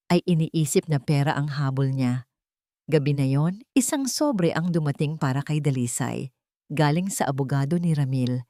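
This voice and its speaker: Woman narrating drama, lower-pitched, dry sound. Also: a little mellow, dry recording. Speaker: storyteller. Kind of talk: dramatic scripted romance narration.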